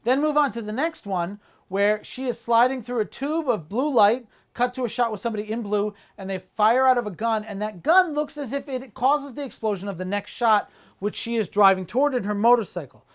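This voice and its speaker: Podcast host breaking down movie trailers, mid-pitched voice, playful and teasing, casual banter. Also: fairly quick.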